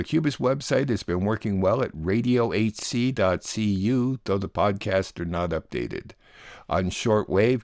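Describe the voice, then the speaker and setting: Low-pitched male radio host, dry studio sound. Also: gravelly, darkish tone, no echo. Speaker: radio show host and producer. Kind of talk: scripted narration, presenting news summaries.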